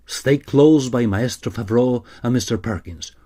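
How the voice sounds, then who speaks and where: Man acting a confident, protective Victorian gentleman. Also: medium pitch, unhurried, natural voice. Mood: earnest, reassuring. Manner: formal, earnest, well-spoken. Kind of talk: period audio drama acting. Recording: music behind the voice.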